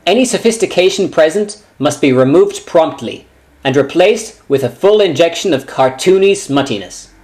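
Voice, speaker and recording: A man with a slightly high voice performs a scripted spoof. His delivery is deadpan and sarcastic, like satirical commentary acted out. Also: moderate pace. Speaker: parody writer and performer.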